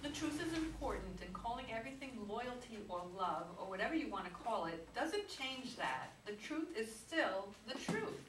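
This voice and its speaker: A woman's voice: on the high side, sing-song, normal speed, few pauses, a bit bright. A woman playing a self-righteous, unyielding wife and mother, firm, curt and insistent, in a staged play reading. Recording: echoey, noisy room, distant microphone.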